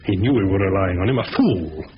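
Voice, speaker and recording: An actor in a 1970s radio drama playing a suave, pompous older criminal mastermind who speaks in measured, condescending, avuncular phrases. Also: male voice, low-pitched, expressive. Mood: scornful. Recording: muffled old radio broadcast, faint noise.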